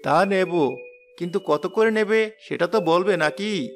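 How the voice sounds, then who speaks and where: Cartoon young hero played by a man: high-pitched, plucky, stubborn, chatty, music underneath. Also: unhurried. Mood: haggling. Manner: animated, talking to himself. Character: plucky, stubborn young son-in-law on a journey. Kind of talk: cartoon acting.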